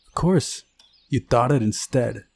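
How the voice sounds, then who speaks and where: A man's voice: medium pitch, a little slow, creaky. A man voicing a brash, prickly teenage girl in a visual novel, blunt and defiant. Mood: huffy defiant. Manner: blunt, snappy.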